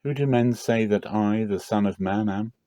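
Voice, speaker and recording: A man's voice: fairly low pitch, normal speed, dark tone, no room sound, lightly acted. A man voicing a stern, authoritative male teacher who instructs emphatically, in a dramatic scripture reading. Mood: solemn, authoritative.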